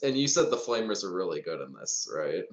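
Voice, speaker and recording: A man's voice: low voice, sing-song, fairly quick. A man playing a tabletop roleplaying game, chatting casually and informally with questions. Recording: roomy, echoing voice pickup.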